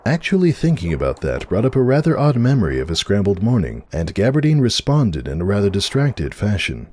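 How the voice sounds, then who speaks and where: A man's voice: fairly low pitch, wildly swooping pitch, fairly quick, a little mellow. A man narrating a story in a dramatic reading style.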